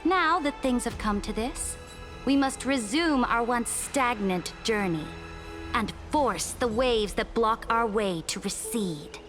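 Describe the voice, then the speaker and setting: A woman's voice: fairly high pitch, slow. A woman playing an imperious, pompous empress who speaks formally and commandingly in a dramatic video game. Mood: haughty, grand. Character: imperious, haughty female Imperator who calls allies her subjects. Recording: music behind the voice.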